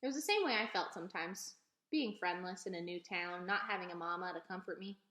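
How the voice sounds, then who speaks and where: A woman narrating a children's storybook aloud in an expressive read-aloud style. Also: lowish voice, brisk. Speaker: storybook reader. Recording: echoey room.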